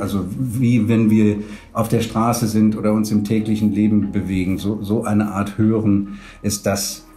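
A man's voice: fairly low pitch, mellow. A middle-aged man explaining in an interview, hesitant and searching for words. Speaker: 3D audio producer.

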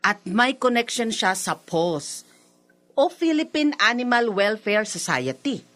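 A woman's voice: medium pitch, sing-song, slow, a bit bright. An actress playing a courteous, firm female village captain in a Filipino radio drama. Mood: firm, explaining. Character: polite, firm village captain confronting a neighbour.

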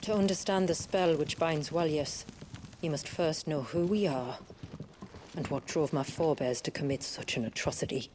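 An actress playing a grave village woman who recounts her people's tragic past in a measured, formal, earnest way for a video game. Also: low-pitched, noisy background, natural voice. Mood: solemn. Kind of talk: solemn scripted storytelling in video game drama.

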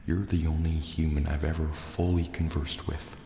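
An extremely low, dark-toned sea serpent dragon , played by a man, echoes through a cave. He is huge, proud and wary, murmuring soft threats with deliberate care in an ASMR roleplay. Faint water ambience sits behind the long reverb. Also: level tone, slight background noise. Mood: reluctant, haughty. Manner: slow, deliberate, threatening yet hesitant. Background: water sounds and cave ambience.

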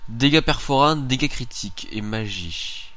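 A man's voice: medium pitch, expressive, measured pace, fairly bright tone. A man with a head cold giving casual, informal video game commentary, muttering to himself as he plays. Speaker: let's play host.

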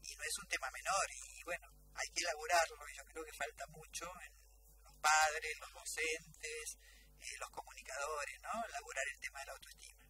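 Argentine woman, very bright forceful voice, hugely varied intonation, emphatic radio guest, studio microphone. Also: deep voice, sing-song, moderate pace, a little hiss or hum, poor audio. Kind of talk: earnest discussion in a radio interview. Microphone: studio desk microphone.

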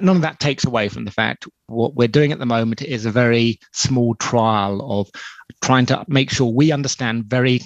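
Middle-aged British man, gravelly fry, dull video-call line, explanatory and halting. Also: mid-range voice, no room sound. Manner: measured, explanatory, frequent ums and restarts. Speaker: telecom company spokesperson. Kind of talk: explanatory interview answers. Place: small indoor room on a video call. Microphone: headset.

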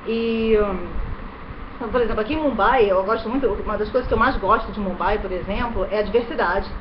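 A woman in her thirties with a Rio de Janeiro accent, chatting casually to her webcam in a bedroom, often tagging her sentences with quick questions. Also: mid-range voice. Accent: Rio de Janeiro Brazilian Portuguese. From Brazil. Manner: chatty, tag questions, gesturing hands. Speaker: vlogger. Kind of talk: casual chat to camera. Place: bedroom indoors in India. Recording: dull webcam audio with fan noise. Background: electric fan running.

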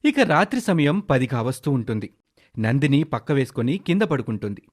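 Mid-pitched male story narrator. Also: sing-song, a little fast.

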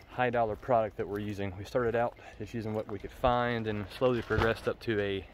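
A man giving an informal, folksy farm tour as a farmer, speaking in a matter-of-fact way into a handheld phone. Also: on the low side. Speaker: farmer and vlogger. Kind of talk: casual farm tour vlog. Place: outdoors on a farm, windy. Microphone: handheld phone, glove over microphone against wind. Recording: windy outdoor handheld phone recording.

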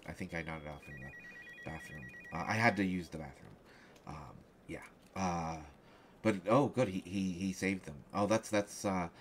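Man acting a low-voiced, stammering, lovestruck journalist. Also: a bit bright, a little hiss or hum. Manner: stammering, halting, hesitant. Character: flustered, lovestruck young male journalist hiding a secret. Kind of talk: one-sided audio roleplay acting.

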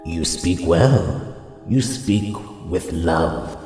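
A man playing an ancient, solemn guardian spirit who speaks gravely and portentously in a superhero audio drama. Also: low-pitched, animated, drawn-out words, dark tone, soft background music, heavily acted. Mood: grave, testing. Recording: long cavernous reverb.

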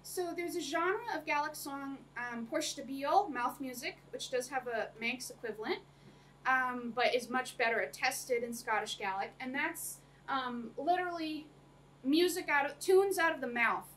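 A woman's voice: high-pitched, moderate pace, a bit bright. Chatty woman lecturing from across a room. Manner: chatty, many ums, laughs often. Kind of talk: academic talk to an audience. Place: small room with projector screen. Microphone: camera across the room. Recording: distant room mic, faint noise.

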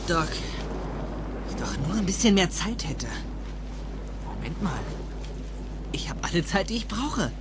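Nervous teenage boy, animated pitch. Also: a little slow, pauses often, noisy background. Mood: hurried, determined. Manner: earnest, hesitant, urgent. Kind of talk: German film audio drama. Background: music.

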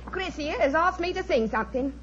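An actress playing the Rani, a brassy older music-hall singer, in a 1940s radio drama, with a chatty, showy manner. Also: female voice, high voice, expressive, brisk, slight background noise. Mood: jolly. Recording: dull lo-fi radio with loud piano.

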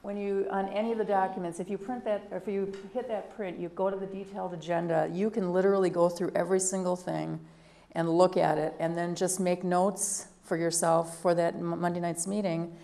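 A woman whose voice sits lower than usual today, with a gravelly edge and a dark, mellow tone. She talks through procedure at a council meeting in a practical, matter-of-fact manner and stays in a narrow pitch band. Her delivery is that of a city clerk explaining things to the members. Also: level tone, a little fast.

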